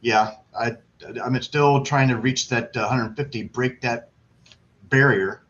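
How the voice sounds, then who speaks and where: Brash, sharp-voiced man on noisy lo-fi call. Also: mid-range voice, steady tone, takes it slowly. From Florida. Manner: brash, self-deprecating, joking. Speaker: guest on an online networking livestream. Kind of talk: casual chat. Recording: dull lo-fi webcam audio, loud background noise.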